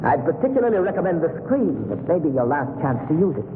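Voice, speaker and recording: An actor playing a mad scientist villain who hates mankind, gloating with mock courtesy in 1930s radio thriller style. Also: male voice, fairly high pitch, big pitch swings, fast talker. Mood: gloating menace. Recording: echoey, noisy, tinny 1930s radio recording.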